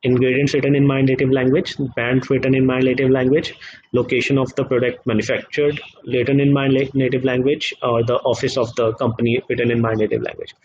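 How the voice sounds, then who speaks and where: A man speaking as a guest in a live online discussion, halting often with fillers and repeated words. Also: medium pitch, flat delivery, a little mellow, muffled recording, slight background noise. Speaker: guest economist.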